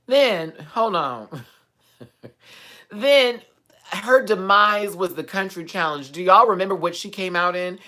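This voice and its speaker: A man's voice: sits high, lively intonation, slow, pushed and bright. A man from Texas hosting a live chat, giving sassy, profane, gossipy commentary on a reality TV show. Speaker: host.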